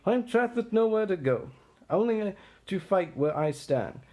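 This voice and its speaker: Man performing bitter tyrant king, doomed, combative, declamatory, widely swinging pitch, stage tragedy. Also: animated, normal speed, mellow. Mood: defiant.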